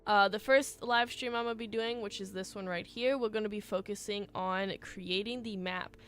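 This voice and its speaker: A woman livestreaming as she builds a game, talking in a chatty, rambling way with lots of fillers, her voice a little hoarse from a cold. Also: medium pitch, steady tone, normal speed, fairly bright tone. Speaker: game streamer. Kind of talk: casual livestream chat while building.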